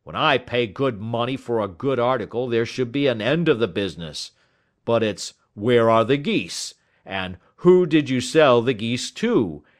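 Audiobook part: gruff, snappish stallholder, acted by a male reader, cocky and blustering, with lively swings in pitch. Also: takes it slowly. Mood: irritated, blustering. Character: gruff, hot-tempered cocksure market poultry salesman. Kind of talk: audiobook character dialogue.

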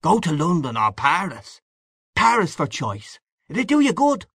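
A man's voice: fairly high pitch, wildly swooping pitch, a little slow, fairly bright tone, breathy edge, no echo, studio quality, voice pushed up, full character voice. A man voicing a hearty, boastful newspaperman in an audiobook, breezy, expansive and patronising. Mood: boastful, cheerful. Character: boisterous, boastful, hearty Irish newspaperman back home. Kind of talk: audiobook character dialogue.

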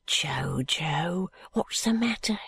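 A woman voicing a gentle, deferential poor woman in an audiobook, low, breathy and soothing. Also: lively intonation, slow pace, full character voice. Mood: soothing, hushed. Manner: hushed, soothing. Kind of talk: audiobook character voice.

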